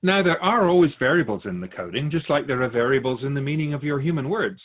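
A man as a patient, lively-pitched otherworldly spirit teacher. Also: narrow, tinny audio, lightly acted. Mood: grave, instructive. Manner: measured, didactic, formal phrasing. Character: the Keeper, otherworldly male spirit guide, patient, lofty teacher. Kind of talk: scripted dialogue in a fiction podcast.

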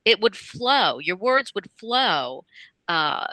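A woman's voice: mid-range voice, very animated, slow, sharp tone, no room sound. A woman hosting a talk show, chatting enthusiastically in a scratchy voice. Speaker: radio show host. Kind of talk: enthusiastic chat.

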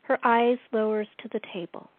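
Radio play narrator: woman host, higher-pitched, deliberate scripted read, dry phone-quality audio. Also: fairly even intonation, no echo.

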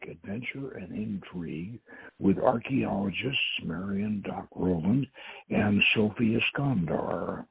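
A man with a gravelly, raspy voice hosting a radio show, reading aloud and adding halting, deliberate asides with dramatic flourishes. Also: mid-range voice. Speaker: radio show host and author. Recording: tinny phone-like line with echo.

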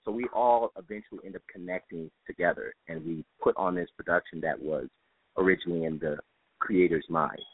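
A man's voice: deep voice, fairly quick, no echo. A man speaking as a stage director in an interview, explaining things in an earnest, reflective way. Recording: dry, phone-like band-limited line.

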